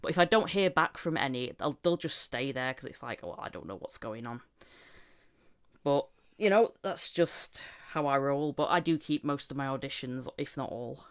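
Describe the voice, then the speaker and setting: A woman's voice: rumbling low, lively intonation, normal speed, clean tone. A woman who hosts a podcast and works as a voice actor, chatting casually and self-deprecatingly with giggles in her talk. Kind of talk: casual podcast chat about voice acting work.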